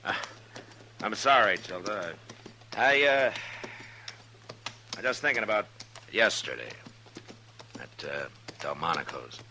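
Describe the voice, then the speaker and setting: An actor playing a calm, weary, plain-spoken frontier lawman in a 1950s radio western. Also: on the low side, takes it slowly, long pauses. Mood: weary, probing. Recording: noisy old 1950s radio recording.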